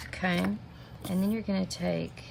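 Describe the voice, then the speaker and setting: Gravelly low-voiced woman, chatty crafter. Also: fairly even intonation, a little slow. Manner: chatty, step-by-step, talks to viewers by name. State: sniffling with a minor cold. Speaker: stamping craft demonstrator. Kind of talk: live craft tutorial. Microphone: camera mic at desk. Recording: noticeable background noise.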